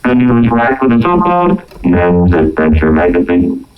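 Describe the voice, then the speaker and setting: Robotic vocoded man, very deep. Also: steady tone, measured pace, highs cut off, loud music behind the voice. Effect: robotic vocoder with synthesizer tones. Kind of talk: scripted moralizing narration. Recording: band-limited old recording through vocoder.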